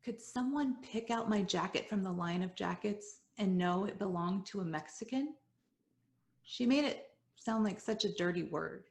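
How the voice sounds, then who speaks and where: A woman in her forties, a native Spanish speaker, telling a personal story at a showcase in a measured, earnest and reflective way. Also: mid-range voice, vocal fry, a little mellow. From Southern Oregon, USA.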